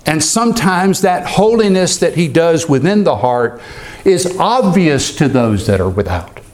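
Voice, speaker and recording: A man's voice: on the high side, very animated, a little slow, darkish tone. A man pastor preaching an earnest expository sermon, sometimes repeating a word as he goes.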